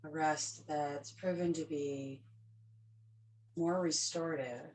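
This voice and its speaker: A woman yoga instructor guiding a yoga nidra session, speaking slowly and softly in short, calm phrases. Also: sits low, a little hiss or hum, rough recording. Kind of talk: guided yoga nidra meditation.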